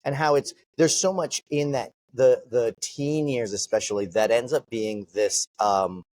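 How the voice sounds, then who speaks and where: A man's voice: mid-range voice, fairly even intonation, unhurried, darkish tone, no room sound. A man interviewing a martial arts instructor, chatting casually and rambling a bit as he asks questions. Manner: casual, rambling with fillers and restarts. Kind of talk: interview conversation.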